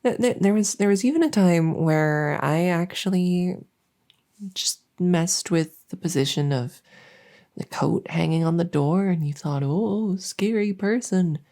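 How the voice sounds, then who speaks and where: A woman plays a female monster who lives under the bed, harmless, needy and exasperated. Her voice is low, soft and mellow, often dropping to a whisper. She rambles slowly and stammers as she pleads with the listener, in a silly ASMR roleplay. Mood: wistful, hurt.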